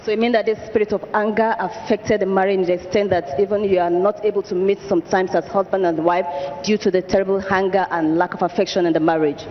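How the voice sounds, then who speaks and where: Fast high-voiced man interviewing in a hall. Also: level tone, slight background noise. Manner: brisk, prompting questions. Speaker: church interviewer. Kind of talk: testimony interview. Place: large church hall. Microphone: handheld mic. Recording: dull echoey hall sound.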